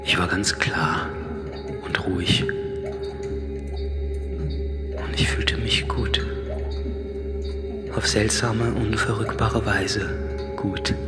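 An actor playing a solitary, coolly analytical man near forty, speaking a measured, introspective literary monologue that often sinks to a murmur. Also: fairly low pitch. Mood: quiet, reflective. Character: brooding, solitary thirty-nine-year-old man, coolly analytical. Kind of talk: literary radio drama monologue. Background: music.

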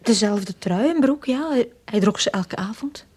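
An actress playing a shaken yet calculating widow in a radio drama, with a Flemish accent and a halting, breathy delivery. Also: female voice, mid-range voice, lively intonation, darkish tone. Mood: shaken, hesitant. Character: shaken but coolly calculating widow, a detective's client.

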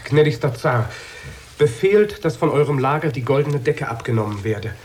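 A man's voice: mid-range voice, expressive, moderate pace, darkish tone, slight background noise, natural voice. A man playing an earnest, self-assured, clever young man in a children's radio drama. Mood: resolute. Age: young adult.

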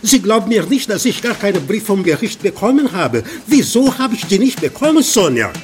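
A man's voice: high voice, very animated, a little fast, a little hiss or hum. An actor playing an aggrieved yet charming Portuguese-speaking man in a radio play. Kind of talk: radio drama acting.

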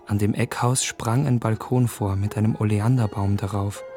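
An actor playing an earnest, observant young gentleman in a German radio drama, speaking his thoughts in measured, literary phrases. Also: fairly low pitch, steady tone, faint music behind, close to an everyday voice. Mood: absorbed observing. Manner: measured, reflective, articulate. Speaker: lead actor.

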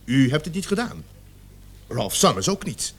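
An actor playing a stern, commanding police officer in a radio play, authoritative and emphatic. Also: male voice, medium pitch, big pitch swings, moderate pace, slightly rough. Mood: methodical, grave. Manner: authoritative, pressing, theatrically emphatic.

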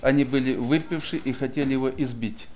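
A man preaching and telling a personal story in short phrases, pausing after each so it can be interpreted. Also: mid-range voice, fairly even intonation, darkish tone, slight background noise. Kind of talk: sermon testimony, interpreted line by line.

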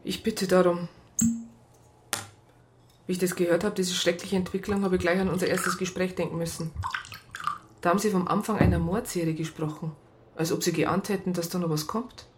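An actress playing a wryly observant police psychologist, narrating a radio crime drama in a measured way. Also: female voice, fairly low pitch, steady tone, noisy background, natural voice. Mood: concerned.

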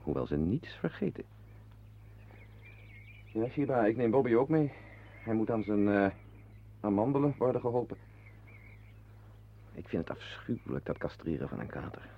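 A man's voice: lowish voice, sing-song, moderate pace, creaky, long pauses, slight background noise. An actor playing a wry, brooding henpecked husband in a Dutch radio play, confiding his musings to the listener. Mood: musing, rueful. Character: wry, brooding henpecked husband, animal-loving former artist. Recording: muffled, band-limited old radio play recording.

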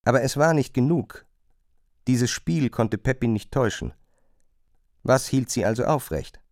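A man narrating a literary radio drama in a measured, pointed, questioning manner. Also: medium pitch, a little mellow, no echo, studio quality, close to an everyday voice.

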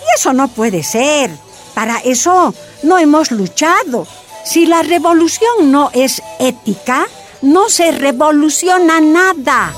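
A Bolivian woman who leads miners' wives, frank and resolute. Her voice sits high and moves freely, yet she takes her time over words. It is a radio drama with soft music underneath. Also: expressive, slow pace. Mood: firm, earnest.

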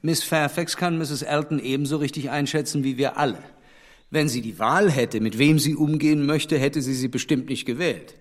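An actor playing a sensible, principled gentleman in a radio drama, speaking directly and firmly in a reverberant room. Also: on the high side, fairly even intonation, natural voice. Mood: earnest. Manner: direct, firm, measured.

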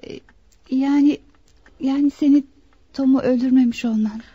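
An anxious young woman, a loving daughter in a radio drama. Her voice is high and soft-toned, emotional, on a dry, muffled old recording. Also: fairly even intonation, normal speed, slightly rough, no room sound. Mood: hopeful, relieved. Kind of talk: radio play acting.

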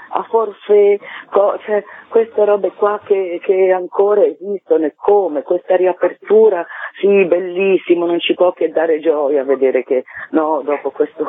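A man's voice: fairly high pitch, husky. A man speaking spontaneously as an interview guest, emphatic and repeating words for stress. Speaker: guest, performing arts worker. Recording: thin phone line, roomy echo.

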